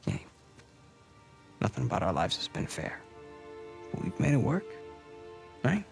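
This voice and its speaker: A man's voice: fairly low pitch, wildly swooping pitch, measured pace, gravelly, full character voice. A man playing a protective, streetwise older brother in a video game cutscene, talking casually and persuasively, sometimes hesitant. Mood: earnest. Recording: music behind the dialogue.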